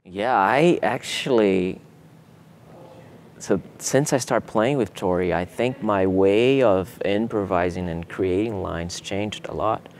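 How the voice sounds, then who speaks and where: A young Brazilian man, a native Portuguese speaker with a Brazilian accent, casually answering interview questions in a relaxed way while seated in a theater hall. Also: deep voice, gravelly, slight background noise. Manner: relaxed, laid-back, many fillers like "you know" and "man". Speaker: guitarist.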